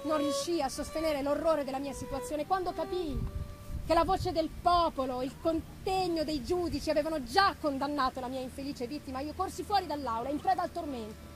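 Woman playing anguished guilty gothic hero, very high-pitched, intense, declamatory, storybook drama, music underneath. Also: fairly quick, lightly acted, rough recording. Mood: anguished, remorseful. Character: tormented man narrating his guilt and anguish. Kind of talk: dramatic gothic novel reading. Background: live music.

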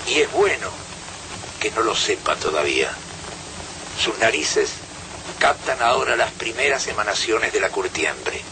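A man's voice: lowish voice, background noise. A man with an Argentine accent giving a dramatic reading of literary prose.

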